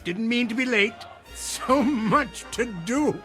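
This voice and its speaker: An actor playing a jovial, sly and mysterious dandy in an audio drama, genial and theatrical. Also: male voice, very high voice, takes it slowly, a bit bright, soft background music, full character voice.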